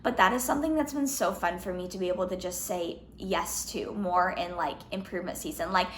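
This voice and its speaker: A young woman in her twenties, a fitness vlogger answering questions in a casual Q&A, slightly hoarse from losing her voice, chatty with lots of "like" and "um". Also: fairly low pitch, brisk, a bit bright, reverberant, slight background noise. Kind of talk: casual Q&A vlog.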